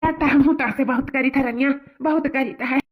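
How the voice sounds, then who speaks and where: High-voiced melodramatic young male lover played by a woman. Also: fairly even intonation, normal speed, lightly acted. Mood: smitten. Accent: eastern Uttar Pradesh. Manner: melodramatic, repetitive, wheedling. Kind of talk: cartoon family comedy acting. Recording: tinny, phone-like narrow audio.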